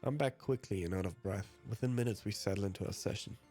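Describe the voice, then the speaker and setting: A man's voice: fairly low pitch, fast, dark tone. A man, a let's player reading visual novel narration aloud. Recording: music behind the voice.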